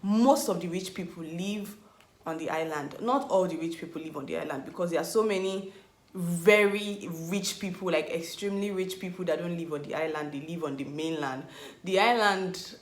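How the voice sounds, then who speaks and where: A woman's voice: on the low side, moderate pace. A young Nigerian woman in her twenties, talking casually to camera. She rambles, corrects herself and often searches for words. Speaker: vlogger. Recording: echoey room.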